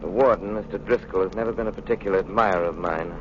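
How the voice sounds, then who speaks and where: A man playing a composed, analytical gentleman sleuth in old radio drama style, refined and calmly deliberate. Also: low voice, lightly acted. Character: composed, urbane, analytical male amateur sleuth. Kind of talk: 1940s radio drama acting. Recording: dull, noisy old radio recording.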